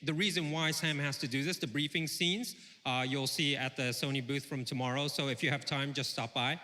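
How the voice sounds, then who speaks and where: A man's voice: mid-range voice, fairly even intonation, a little fast, pushed and bright. A man interpreting live on stage, piecing his sentences together hesitantly. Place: stage in a large event hall. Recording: echoing large hall over PA.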